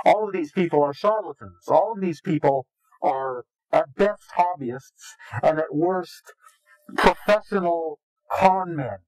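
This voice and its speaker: A man's voice: fairly high pitch, animated, takes it slowly, long pauses, no echo, rough recording. A man answering interview questions formally in an indignant, sarcastic and wordy way, his voice slightly unnatural from digital processing. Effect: voice changer removed, slightly unnatural. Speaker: interview guest, anonymous whistleblower.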